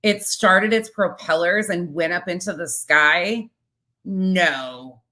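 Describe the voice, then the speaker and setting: A woman with a low-pitched voice that cuts through brightly and forcefully. She hosts casual reaction commentary and talks bluntly, with a sarcastic, sweary edge. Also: takes it slowly. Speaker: commentary channel host.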